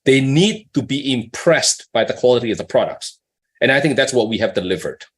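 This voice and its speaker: A man's voice: medium pitch, lively intonation, fairly quick. A man with a mid-range voice talks as a company executive on an earnings call. He explains things conversationally, posing questions and often checking that the listener follows.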